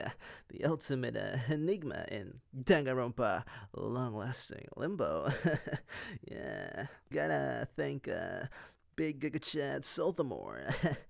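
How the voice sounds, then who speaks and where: A man speaking as a voice actor in a rambling, casual way, halting and giggly. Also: mid-range voice, gravelly, no echo, studio quality. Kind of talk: rambling casual shout-outs.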